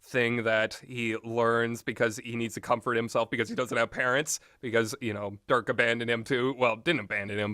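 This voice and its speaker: A man's voice: lowish voice, dry recording, studio quality. Rambling man giving casual game opinions. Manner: animated, exasperated asides, acts out imagined quotes. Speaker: video game reviewer.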